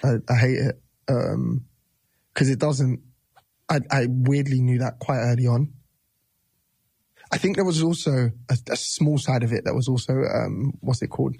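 A man's voice: medium pitch, steady tone, normal speed, gravelly, long pauses, dark tone, slightly rough, no echo. A man in his thirties, a musician guest, talking casually in an interview, loose and rambling with many restarts.

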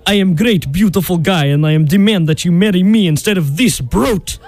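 An actor playing a vain, boastful suitor with a mock Russian accent and broken English in a comic radio play. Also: male voice, high-pitched, fairly quick. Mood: pompous, insistent.

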